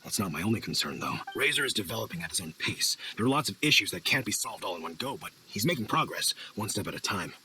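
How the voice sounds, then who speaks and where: A friendly middle-aged hunter, speaks like a caring father and mentor in a video game. The voice is bright and very expressive, with thoughtful conversational phrasing over faint ambient noise. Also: lowish voice, fast, hardly any pauses, full character voice. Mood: thoughtful, concerned. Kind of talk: video game character acting.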